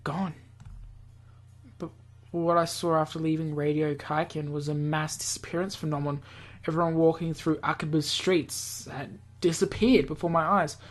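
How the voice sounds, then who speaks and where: A woman's voice: low-pitched, steady tone, moderate pace. A woman reading a visual novel aloud in an amateur, offhand narrating style, sometimes stumbling over words and re-reading them. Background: game background music.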